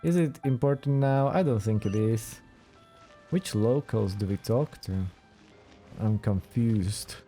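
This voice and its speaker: A man's voice: medium pitch, takes it slowly, mellow. A man doing casual let's play commentary, wry and musing aloud as he goes. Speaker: gaming let's play host. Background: video game music.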